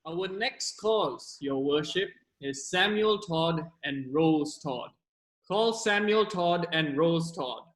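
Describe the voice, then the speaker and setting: A man as a high-voiced solemn court clerk, echoing. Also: animated, fairly bright tone. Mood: solemn, official. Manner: formal, deliberate, declamatory. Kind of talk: courtroom stage play acting. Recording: echoey room.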